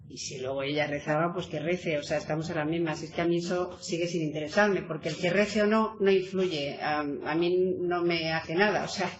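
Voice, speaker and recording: Woman author, animated and hesitant, echoey room, dull lo-fi sound. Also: low voice, normal speed, hardly any pauses. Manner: animated, hesitant with many fillers and restarts. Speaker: author presenting her book. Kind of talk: conversational book presentation talk. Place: meeting hall.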